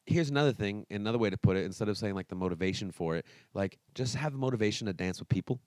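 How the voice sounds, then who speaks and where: A man's voice: fairly low pitch, very fast, a little mellow, no echo. A man co-hosting a podcast, rambling casually through jokes and opinions. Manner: joking, mock-indignant, rambling. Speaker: podcast co-host, dance teacher.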